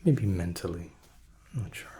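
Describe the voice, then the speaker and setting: A man doing an ASMR roleplay as an examiner, speaking softly and in whispers, gently reassuring and repeating words. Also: low voice, expressive, normal speed, a little hiss or hum. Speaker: ASMR host playing an examiner.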